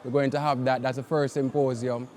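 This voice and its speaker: A man's voice: mid-range voice, flat delivery, brisk, a little mellow. A man with a Jamaican accent co-hosting a live outdoor event, laid-back and a little unsure on air. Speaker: co-host. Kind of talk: live event broadcast chat.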